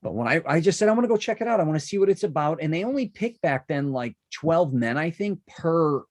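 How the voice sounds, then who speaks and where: Chatty podcast host, rambling and warm-up eager. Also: male voice, fairly high pitch, fairly quick. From Chicago. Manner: rambling, lively, full of asides and restarts. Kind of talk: casual interview chat.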